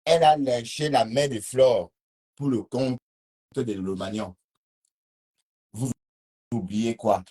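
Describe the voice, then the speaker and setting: Husky man with a Cameroonian accent. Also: medium pitch, takes it slowly, long pauses, warm tone. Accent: Cameroonian French. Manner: reads viewer comments and answers them, rambling with restarts. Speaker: live streamer. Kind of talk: casual live-stream talk to viewers.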